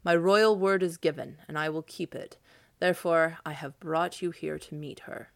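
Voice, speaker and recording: A woman reading aloud, voicing a stern, dignified male king who speaks in a measured way. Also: sits low, steady tone, creaky, clear, resonant tone, dry recording.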